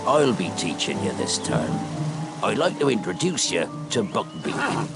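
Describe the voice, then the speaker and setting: A man voicing a big, jovial creature-keeper and teacher in a video game, hearty and folksy, with a gravelly voice. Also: on the low side, huge pitch swings, measured pace, full character voice. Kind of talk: video game character acting. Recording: loud music behind the voice.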